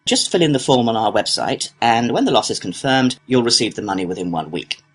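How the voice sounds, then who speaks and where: A man acting as a courteous male customer-service clerk. His voice is husky and rises and falls a lot as he works helpfully through a scripted procedure. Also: mid-range voice, big pitch swings, fairly quick. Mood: courteous, businesslike. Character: courteous, helpful complaints clerk at an antiques company. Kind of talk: scripted listening-test dialogue.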